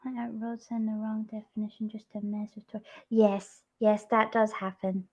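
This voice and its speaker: A woman's voice: medium pitch, flat delivery, moderate pace, darkish tone, muffled recording. A woman hosting a livestream, chatting casually, giggling and teasing her viewers. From Scotland. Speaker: stream host.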